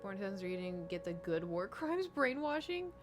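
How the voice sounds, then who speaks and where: A woman's voice: medium pitch, very animated, moderate pace. A woman from the United States, a gaming streamer rambling in casual chat and answering her chat. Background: background music.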